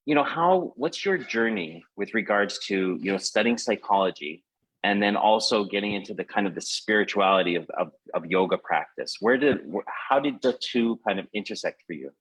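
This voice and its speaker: A man hosting a casual live-stream interview, informal and halting, often rephrasing as he goes. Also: lowish voice, animated, fairly bright tone, slightly rough, low-quality recording. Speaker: interview host.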